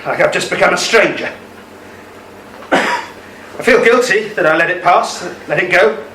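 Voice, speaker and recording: A man's voice: high-pitched, takes it slowly, pushed and bright, exaggerated voice. A man playing an older ex-army husband in a radio play, regretful and haunted by a lost love, speaking with hesitant emotion. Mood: guilty, regretful. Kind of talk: amateur radio play acting. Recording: roomy, distant mic, faint noise.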